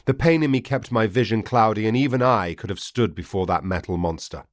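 A man reading a first-person story as an audiobook narrator, grave, theatrical and deliberate. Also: lowish voice, no echo.

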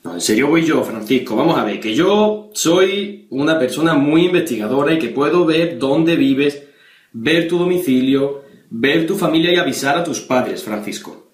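A man's voice: a little high, animated, moderate pace, lightly acted. A young man from Spain playing a stern, preachy, threatening policeman father on a prank call, insistent and accusatory. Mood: stern, accusing. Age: young adult. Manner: insistent, accusatory, repeating the listener's name. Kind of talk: prank phone call improvisation. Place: small bedroom, indoors. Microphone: webcam or camera at desk distance. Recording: roomy, echoey bedroom.

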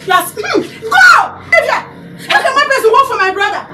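Actress as a loud, mocking madam, bright and very high, heard from afar. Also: female voice, sing-song, brisk, exaggerated voice. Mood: scornful. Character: scornful woman shouting down a visitor. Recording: from far off, music underneath.

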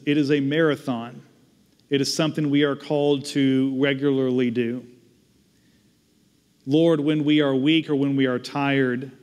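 A man's voice: mid-range voice, darkish tone. A man in his thirties with a Southern US accent, a pastor preaching at a pulpit microphone in a conversational, self-deprecating way. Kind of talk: sermon with closing prayer. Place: large church sanctuary, at a pulpit. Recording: long reverb of a church hall.

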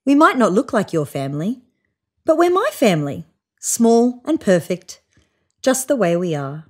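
A woman in her thirties reads a children's picture book aloud. Her mid-pitched voice swings widely in tone, with expressive storytelling aimed at young listeners. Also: moderate pace, breathy edge. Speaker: storybook reader. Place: small room indoors, seated on a couch.

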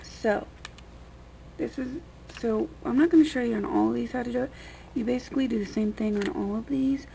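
Creaky-voiced woman, casual rambling tutorial. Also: on the high side, a little fast. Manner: rambling, colloquial, mildly crude. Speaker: vlogger giving a how-to demonstration. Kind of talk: casual unscripted hands-on tutorial. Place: small dim room indoors at a table. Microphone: camera mic pointed at hands on table. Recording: noisy homemade camera recording.

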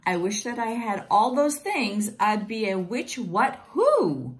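Woman, Portuguese first language, picture-book reader, gentle and encouraging, lively pitch, slow pace, close webcam. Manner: gentle, encouraging, singsong reading. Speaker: teacher reading picture books. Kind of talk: reading children's books aloud. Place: home room with plants, indoors. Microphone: webcam at close range.

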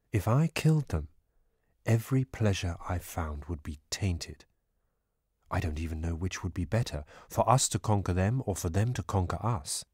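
Anguished warrior prince played by a man in a scripture audiobook: low, husky, pleading, torn. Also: a little mellow, no room sound, studio quality, exaggerated voice. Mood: anguished, questioning.

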